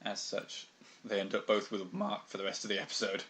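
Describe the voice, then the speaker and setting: A man's voice: on the low side, completely flat delivery, fairly quick, fairly bright tone. A British man co-hosting a podcast, talking in a dry, wry and rambling way with lots of fillers. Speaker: podcast co-host. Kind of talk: casual anime discussion.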